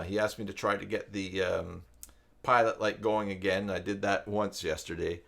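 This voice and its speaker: A man's voice: fairly low pitch, a bit bright. A man in his fifties hosting a live stream, talking in a casual, rambling, conversational way. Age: 50s. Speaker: live stream host and tutorial maker. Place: downstairs room at home.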